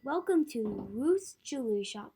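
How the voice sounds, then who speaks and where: Young girl as a mellow high-voiced shopkeeper. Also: expressive, a little slow, vocal fry, slight background noise. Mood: courteous. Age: child of about ten. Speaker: pupil acting in a school radio play.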